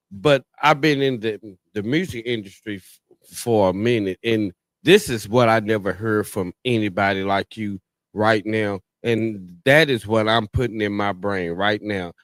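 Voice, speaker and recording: A man's voice: on the low side, moderate pace, a bit bright, no room sound. An American man hosting a podcast talk show, chatting casually in slang, effusive and often repeating his phrases. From Tennessee and California's Bay Area, USA.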